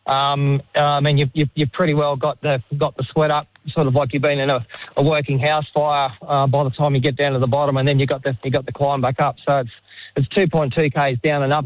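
Australian firefighter on a phone line. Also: male voice, mid-range voice, no room sound. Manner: hesitant, with many fillers. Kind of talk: phone interview.

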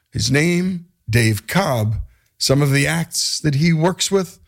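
Man with a new jersey accent, radio host, husky edge, faint music bed underneath, enthusiastic. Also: a little high. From northern New Jersey, US. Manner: enthusiastic, talks up the guest. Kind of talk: radio interview with scripted intro.

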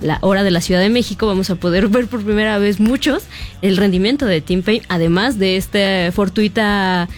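A woman's voice: medium pitch, moderate pace, soft background music. A woman co-hosting a broadcast show, chatting in a thoughtful, agreeable way. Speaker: co-host. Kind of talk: casual chat on a broadcast show.

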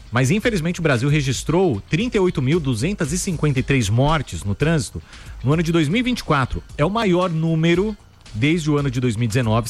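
A man co-hosting a radio news show, reading headlines briskly with casual asides. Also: a little high. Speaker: news co-host. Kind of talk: radio news bulletin chat. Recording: faint music bed behind.

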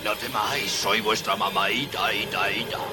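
A man voicing a gruff wolf who coaxes while posing as a hoarse mother goat. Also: fairly low pitch, steady tone, natural voice. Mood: coaxing, sly. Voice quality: gruff, gravelly. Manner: coaxing, drawn-out words. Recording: loud music over film clip.

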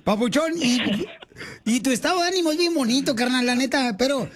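A man with a Mexican accent and a strikingly high-pitched voice. He hosts a boisterous, casual radio show. His talk is slangy, playful and full of teasing. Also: expressive, brisk. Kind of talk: boisterous casual Spanish-language radio chat. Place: radio studio.

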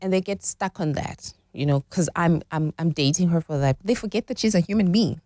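A woman's voice: lowish voice, a little fast, mellow, no echo, studio quality. A woman in her forties, explaining relationship dynamics as a guest in a conversational interview, often checking in with "right?". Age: 40s.

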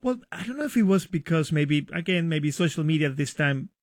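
A man's voice: a little high, animated, a little fast, no echo, clean studio sound. Argentine-accented middle-aged man, enthusiastic. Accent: Argentine Spanish. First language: Spanish. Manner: enthusiastic, warm storytelling with hesitations. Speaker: radio producer, guest. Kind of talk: casual radio interview.

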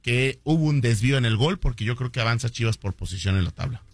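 A man's voice: mid-range voice, quick pace, no echo. A man hosts a sports talk show in a mid-range voice. He talks in an opinionated, teasing way. The sound is dry and close to the mic. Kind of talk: casual sports chat.

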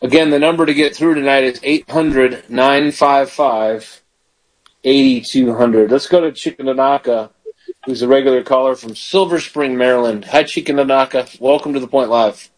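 A man's voice: medium pitch, fairly even intonation, normal speed, poor audio. A man who is a pastor hosting a live call-in show, praying with callers and leading them line by line. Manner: warm pastoral, leads prayers line by line.

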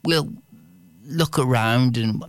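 A man's voice: mid-range voice, slow pace, smooth, no room sound, voice pushed up, natural voice. A man voicing a kind young princess in a reassuring tone.

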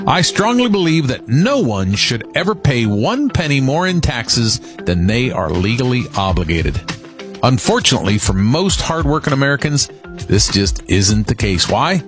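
A man reading a radio advertisement in a polished, persuasive pitch. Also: very animated, moderate pace, faint music behind. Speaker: radio show host in an ad.

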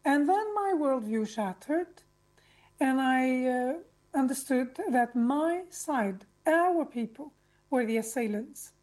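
High-voiced, articulate woman from Lebanon. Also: lively intonation, slow pace, darkish tone, low-quality recording. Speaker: interview guest, activist speaker. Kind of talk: personal account in an interview.

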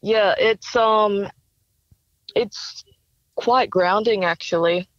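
Slow-speaking young Australian woman, slightly dull sound. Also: mid-range voice, no room sound. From Brisbane, Australia. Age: young adult. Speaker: singer-songwriter, interview guest. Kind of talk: casual interview answers.

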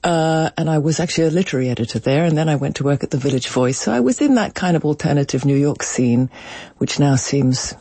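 Woman in her 60s, radio guest, casual interview talk, husky voice, medium pitch, dry studio. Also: expressive, fast talker, mellow, no room sound. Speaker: studio guest, writer and broadcaster.

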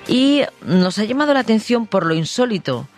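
Una mujer con acento castellano que presenta un programa de radio en tono conversacional, dirigiéndose directamente a los oyentes y recalcando palabras. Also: female voice, medium pitch, big pitch swings, moderate pace. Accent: Castilian Spanish. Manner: addresses listeners directly, emphatic repetition. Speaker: radio show host. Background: background music.

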